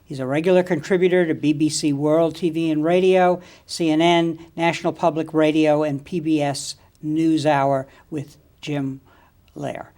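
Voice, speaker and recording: A man's voice: a little high, little pitch movement, moderate pace, a little mellow. A man hosting an interview show, who reads a formal introduction and then asks questions that sometimes stop and start. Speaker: interview host.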